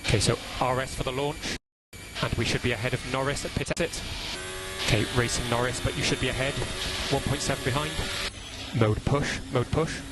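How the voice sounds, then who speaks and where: A man's voice: mid-range voice, fairly even intonation, a little fast, rough recording. Male race engineer, matter-of-fact and terse, radio sound with prominent music underneath. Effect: team radio. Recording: team radio with loud background sound.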